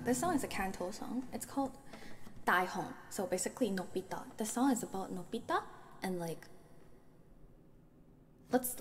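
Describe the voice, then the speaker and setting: Soft whispery young woman streamer. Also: medium pitch, slight background noise. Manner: soft whispery talk with giggles. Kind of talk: soft ASMR chat and singing. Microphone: close ASMR mic. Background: soft guitar.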